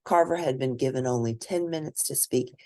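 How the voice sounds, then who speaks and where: A woman reading a children's picture book aloud as host, in expressive, deliberate storytelling. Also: very deep voice.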